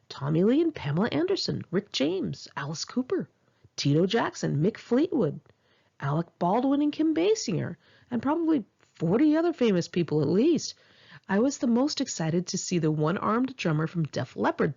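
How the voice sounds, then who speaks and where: A woman's voice: lowish voice, vocal fry, a little mellow, slightly rough, muffled recording. An American woman reads her own memoir aloud in an informal audiobook style, with a candid, wry, gossipy way of telling stories. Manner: candid, wry, gossipy storytelling with blunt swearing. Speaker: author reading own memoir. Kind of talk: scripted narration, informal audiobook reading.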